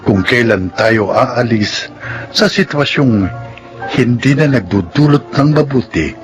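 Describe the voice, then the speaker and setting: Casting: Filipino man as dramatic radio announcer, unhurried, very varied intonation, reading over clear music. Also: medium pitch, expressive, dull audio. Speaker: host and announcer. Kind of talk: scripted radio drama narration and credits.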